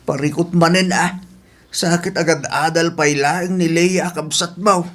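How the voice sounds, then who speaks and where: A woman's voice: fairly low pitch, steady tone, unhurried, close to an everyday voice. A woman voicing a troubled, downcast middle-aged father in a radio drama, sighing and resigned. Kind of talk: amateur radio drama acting.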